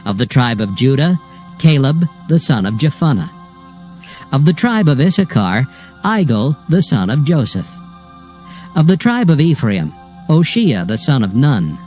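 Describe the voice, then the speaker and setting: A man narrating scripture from a script in a measured, solemn manner. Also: mid-range voice, sing-song, darkish tone, faint music behind.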